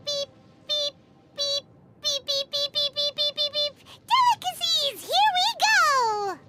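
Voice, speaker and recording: A woman voicing a tiny, bubbly, childlike female fairy companion in a video game, squeaky and excitable, dramatic in manner. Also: slow pace, noisy background, exaggerated voice. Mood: cheerful. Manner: speaks of self in third person. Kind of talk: video game character dialogue.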